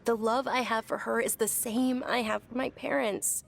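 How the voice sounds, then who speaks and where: A woman's voice: on the high side, steady tone, normal speed, creaky. An actress playing a polite but headstrong young noble lady in a game, speaking earnestly. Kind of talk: game voice acting.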